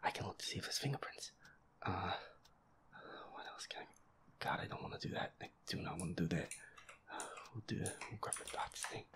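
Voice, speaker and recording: A man muttering to himself in a breathy, whispery voice as he plays a game, repeating himself and swearing. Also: sits low, fairly quick, fairly bright tone. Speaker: gamer playing a horror game. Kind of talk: hushed solo gameplay chatter, thinking aloud.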